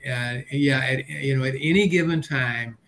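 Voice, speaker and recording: An older man chatting as a panelist on a video call, halting and repeating the starts of his words. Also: a bit bright. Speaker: panelist and experienced pilot. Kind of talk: casual panel chat. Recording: noisy video call with low rumble.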